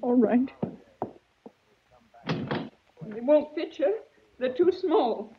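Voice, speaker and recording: A woman's voice: high-pitched, animated, drawn-out words, highs cut off, slight background noise, heavily acted. An actress playing a frightened woman who stammers and pleads, in an old radio drama recording. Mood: terrified. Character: frightened farm wife, pleading, trembling.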